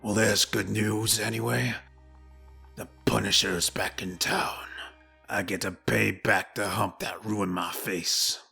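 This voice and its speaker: A man voicing a bitter, impatient mob gangster in a comic dub, with a rough voice and menacing, sarcastic delivery. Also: lowish voice, fairly even intonation, takes it slowly, sharp tone, heavily acted. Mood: grimly pleased. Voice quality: rough, gravelly, tense. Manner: menacing, sarcastic tough-guy talk. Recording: music behind the voice.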